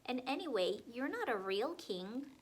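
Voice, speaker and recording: Little girl in a queen costume, indignant, high pitched, lady voicing her. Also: sing-song, measured pace, a little hiss or hum. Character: upset young girl dressed as a queen.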